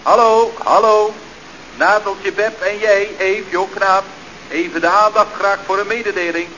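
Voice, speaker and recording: A man's voice: high-pitched, fairly even intonation, unhurried, bright, forceful tone. An actor playing a chatty, slangy youth-club leader who reports deferentially and glibly to his boss in a radio comedy. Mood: breezy. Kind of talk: Dutch radio comedy acting. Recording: dull, noisy old radio recording.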